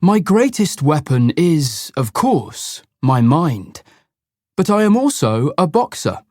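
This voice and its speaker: Guy playing a cocky smart detective, slow careful read, a bit high and very up and down. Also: very animated, a little mellow, no echo, exaggerated voice. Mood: self-assured. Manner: slow, measured, self-assured. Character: proud clever English male detective. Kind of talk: scripted reading for learners.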